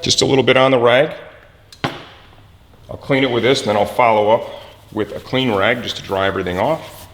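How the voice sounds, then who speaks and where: A man presenting a hands-on mechanical how-to, instructive and often checking in with a quick "okay". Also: mid-range voice, in a large hall, a little hiss or hum.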